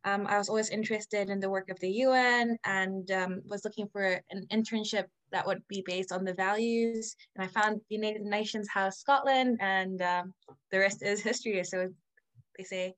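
A woman's voice: medium pitch, fairly even intonation, normal speed, a bit bright. A woman from Zimbabwe giving a brief introduction.

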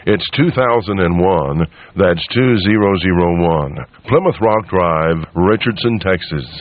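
A man's voice: low-pitched, lively intonation, measured pace, dry recording. A man hosting on radio, making a folksy promo and appeal straight to listeners in a conversational way, with narrow broadcast sound. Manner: conversational, direct address to listeners. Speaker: radio station host.

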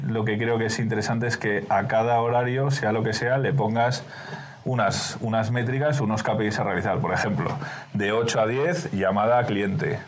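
A man with a Spanish accent, a company director speaking as a guest on a sales podcast, explaining his methods step by step. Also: lowish voice. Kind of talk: podcast interview.

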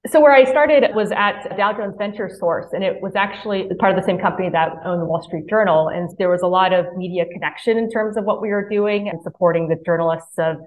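A woman's voice: medium pitch, fairly quick, hardly any pauses. A woman in her thirties from Mississippi, a podcast guest talking earnestly about her career with frequent restarts. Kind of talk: podcast interview. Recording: tinny phone-like line.

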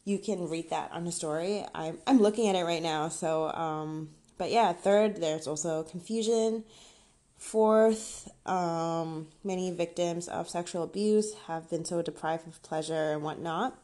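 A young woman hosts a casual solo podcast in a voice that sits a little low. She talks candidly and informally, as if chatting to friends. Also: a little slow, mellow. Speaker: podcast host. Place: outdoors on a patio.

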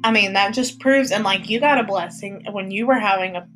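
A woman's voice: medium pitch, a little fast, a bit bright, faint music behind, low-quality recording. A woman, co-hosting a faith podcast, chatty and affirming. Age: 20s. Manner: affirming, chatty, frequent agreement. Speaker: podcast co-host. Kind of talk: casual faith podcast chat.

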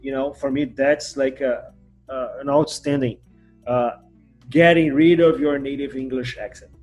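Brazilian guy hosting a podcast, portuguese accent, a bit husky, gets really animated, faint guitar behind. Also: a little slow. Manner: animated, jumps up in pitch and loudness when excited. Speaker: podcast host. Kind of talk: casual interview chat.

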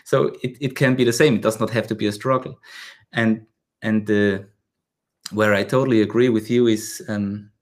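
A man's voice: on the low side, steady tone, normal speed. A man with a German accent hosting a casual interview in his second language, hesitantly searching for words and restarting his sentences. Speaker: podcast host, dog trainer.

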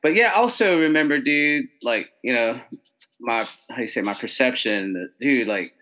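A man hosting a casual podcast interview over a video call, excitable and slangy, saying dude a lot. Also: mid-range voice, a little slow, poor audio. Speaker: podcast host.

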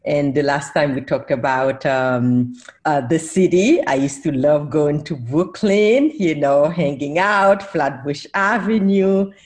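A woman's voice: deep voice, slow, darkish tone. A woman in her forties, born in Haiti, speaking as a professor guest on a casual podcast, who laughs often and corrects herself as she goes.

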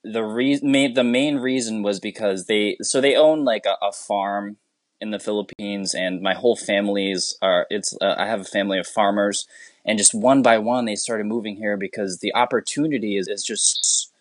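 A man's voice: fairly low pitch, moderate pace, dry recording. A man from the United States, a teacher, speaking as a guest in a casual interview, earnest and rambling with many restarts.

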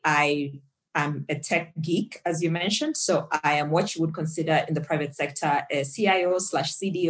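A woman from Zambia, a guest on an interview podcast, talking casually and upbeat with frequent laughter. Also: low-pitched. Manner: upbeat, laughs often, animated when storytelling. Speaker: podcast guest, tech executive and fitness coach.

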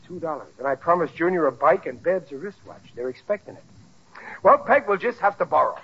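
Husky, blustering working-class family man. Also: on the high side, lively intonation, fairly quick. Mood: flustered, scheming. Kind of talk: 1940s radio sitcom acting. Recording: dull vintage radio recording.

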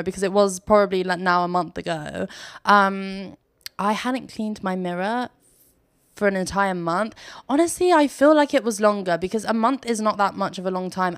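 A woman's voice: mid-range voice, dry recording. A young woman talks straight into her phone from the sofa, chatting loosely and laughing at herself. The sound is dry and close, with no room around her voice. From Philippines. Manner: chatty, self-mocking, laughs often. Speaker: podcast host. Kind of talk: casual solo podcast chat.

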